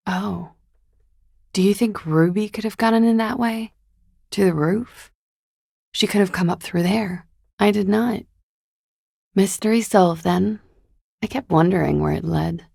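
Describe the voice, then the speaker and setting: An actress playing a guarded, world-weary young woman in a video game, speaking in a languid, wry, naturalistic way with a gravelly voice. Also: medium pitch, a little slow, pauses often, mellow, no echo, studio quality, exaggerated voice. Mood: uneasy, guarded. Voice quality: fry-laden, gravelly.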